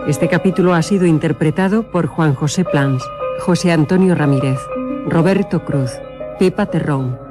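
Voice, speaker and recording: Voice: medium pitch, normal speed. An announcer reads scripted radio credits in a formal style. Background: loud music.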